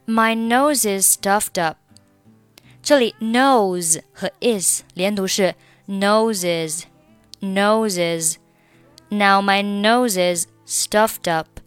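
Woman, Mandarin speaker, English pronunciation teacher, careful repetition, dry close mic, soft music behind. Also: mid-range voice, clear, resonant tone, no room sound. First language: Mandarin Chinese. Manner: slow, careful repetition of words and phrases. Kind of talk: pronunciation lesson, reading dialogue lines and explaining linking.

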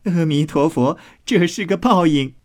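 A man's voice: on the high side, unhurried, voice pushed up, natural voice. A man voicing a cheeky, spirited young maidservant in a storybook, saucy and mock-indignant with many exclamations. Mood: gleeful teasing.

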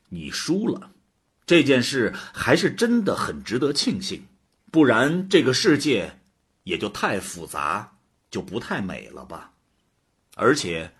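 A husky, gentle, unhurried God. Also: male voice, mid-range voice, heavily acted. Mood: warm, relieved. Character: God, a calm, gentle, hopeful male creator. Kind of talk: radio drama acting.

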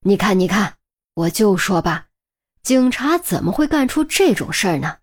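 A woman's voice: medium pitch, very animated, moderate pace, breathy edge. A woman voicing a chatty, nosy, thrifty old neighbour lady in an audiobook, with a folksy, fussing way of talking. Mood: insistent, righteous.

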